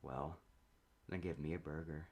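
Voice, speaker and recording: A man puts on a smooth-talking, creepy male customer for a comic story. The voice drops low and hushed, with words drawn out as he orders. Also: fairly even intonation, moderate pace. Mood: suave, hushed.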